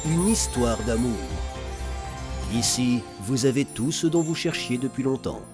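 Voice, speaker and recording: A man reads a scripted trailer voice-over, announcing and inviting the listener in. His voice sits in a middle range. Clear music plays underneath. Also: medium pitch, a little slow. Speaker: narrator of a promotional trailer.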